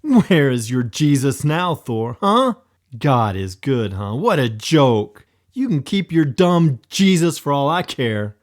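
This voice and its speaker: A man voicing a hot-tempered boy who yells and sobs over his lost dog. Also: sits high, expressive, unhurried, vocal fry, voice pushed up, exaggerated voice. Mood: angry grief. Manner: yelling, sobbing outbursts. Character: hot-tempered grieving boy, loud and shrill.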